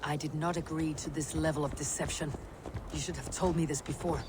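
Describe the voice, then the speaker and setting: A man's voice: on the high side, steady tone, brisk, background noise, lightly acted. An actor playing a stern, blunt male Viking warrior in a game cutscene. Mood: disapproving. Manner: blunt, disapproving. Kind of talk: video game cutscene acting.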